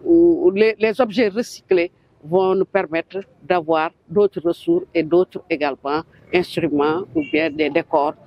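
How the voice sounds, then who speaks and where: A Senegalese woman, an elected official, giving a deliberate and formal press statement. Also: fairly low pitch, no room sound, lo-fi sound. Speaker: elected local official, vice-president.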